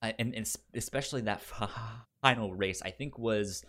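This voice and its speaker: A man co-hosting a casual film discussion, halting as he goes, with a stutter that repeats sounds and words. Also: lowish voice, bright, forceful tone. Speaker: podcast co-host.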